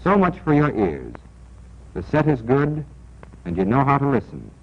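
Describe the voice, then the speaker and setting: An American man narrating a 1940s military training film in a scripted, deliberate, instructional and emphatic manner, on an old film soundtrack. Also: medium pitch, wildly swooping pitch. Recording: dull, band-limited 1940s film soundtrack.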